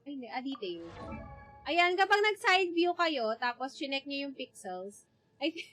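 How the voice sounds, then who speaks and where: A woman's voice: high-pitched, big pitch swings, normal speed, bright, forceful tone. A woman with a Filipino accent chatting casually on a game livestream. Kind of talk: casual livestream chat, mixing English and Tagalog. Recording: game music behind the voice. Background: video game music.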